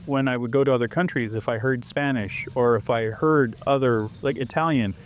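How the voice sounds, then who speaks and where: Man, mid-pitched, animated guest telling stories in a casual interview. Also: normal speed, a little hiss or hum. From Canada. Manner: animated storyteller who acts out quoted exchanges. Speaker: interview guest, foreigner living abroad.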